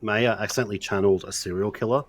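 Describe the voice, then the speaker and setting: A man's voice: on the low side, steady tone, rapid-fire. A man bantering casually in a group on a stream.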